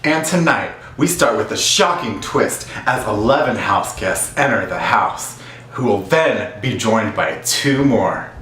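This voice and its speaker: Male host, dramatic announcer read, very lively pitch, roomy and distant recording. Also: unhurried. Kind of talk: reality show hosting. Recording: echoey room, distant mic, faint hiss.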